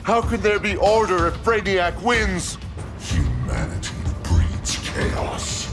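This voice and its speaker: Menacing domineering villain, slow pace, dramatic cutscene style, clear musical backing. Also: male voice, high-pitched, huge pitch swings, exaggerated voice. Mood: cold, menacing. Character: cold domineering villain preaching order. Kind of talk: dramatic video game cutscene acting. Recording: music over voice.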